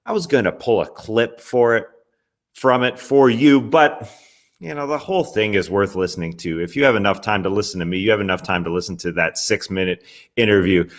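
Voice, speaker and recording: A man's voice: on the low side, a little fast. A young man hosting a basketball recap show, talking in a casual, chatty way with wry asides. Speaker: sports podcast host. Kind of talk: NBA recap commentary.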